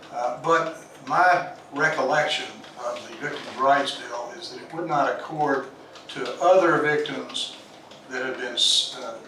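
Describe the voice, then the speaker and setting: An older man, a defense attorney, arguing in court with formal, measured legal phrasing. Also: medium pitch, sharp tone, slight background noise. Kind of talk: courtroom argument. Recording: echoey courtroom audio from a distant mic.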